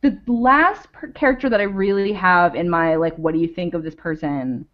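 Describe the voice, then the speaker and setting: A woman's voice: fairly low pitch, normal speed. A woman co-hosting a podcast, talking casually about a TV show and thinking aloud with lots of "like"s. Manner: rambling, thinks aloud with many "like"s. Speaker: podcast co-host. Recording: dull lo-fi audio.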